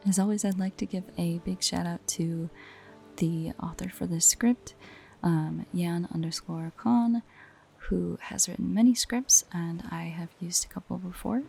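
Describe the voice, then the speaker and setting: A woman speaking softly and casually in a low-key outro, as an audio creator addressing her listeners. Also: gravelly.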